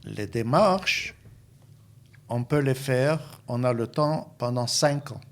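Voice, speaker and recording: Older man with an Israeli accent, volunteer advisor; measured, hesitant explainer of a middle pitch. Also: animated. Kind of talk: interview answers.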